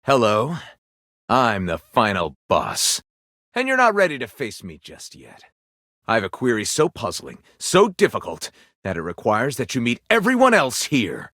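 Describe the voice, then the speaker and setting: A man's voice: mid-range voice, wildly swooping pitch, measured pace, pushed and bright, no echo, clean studio sound, full character voice. A man voicing a weary, theatrical, fussy game developer in a video game, dramatic and prone to interrupting himself. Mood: theatrically menacing. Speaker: game director character.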